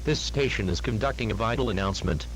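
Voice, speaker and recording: A man reading a scripted emergency alert announcement in a formal, grave, deliberate manner. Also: lowish voice, a little hiss or hum, low-quality recording.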